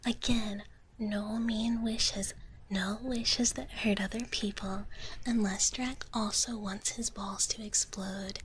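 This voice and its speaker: Slow, softly whispering ASMR woman. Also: medium pitch, steady tone, low-quality recording. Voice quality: soft, breathy whisper. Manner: playful, in character as a gentle wish dragon, often speaking of herself in third person. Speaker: live streamer, host. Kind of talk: whispered ASMR, casual chat with viewers. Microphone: close ASMR microphone. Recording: clearly audible background noise.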